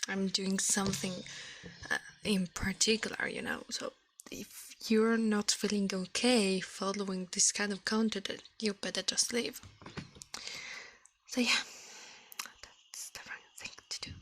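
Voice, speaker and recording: A woman hosting an ASMR livestream in a breathy, hushed voice that often drops to a whisper, murmuring slowly close to the microphone. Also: medium pitch, steady tone.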